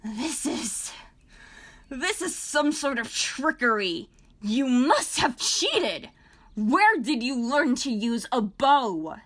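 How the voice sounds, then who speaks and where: A woman playing a proud, indignant fairy lord in an audio drama, haughty and drawn-out in delivery. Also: high-pitched, sing-song, fairly bright tone, full character voice. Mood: indignant disbelief.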